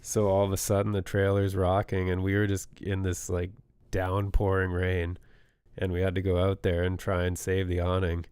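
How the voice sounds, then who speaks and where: A man's voice: low voice, monotone, normal speed, a little mellow, clear, resonant tone, dry recording. A man co-hosting a podcast in casual chat, laying out storm figures with many fillers, his voice a bit worn. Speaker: podcast co-host.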